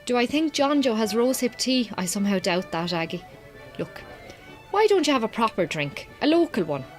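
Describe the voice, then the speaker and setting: An actress playing an Irish farmer's wife in a radio drama, direct and wry, with an Irish accent. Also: female voice, fairly high pitch, big pitch swings, a little fast, soft background music. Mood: wry, friendly. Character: Irish farmer's wife, strained marriage, brisk but yearning. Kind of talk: naturalistic radio drama dialogue.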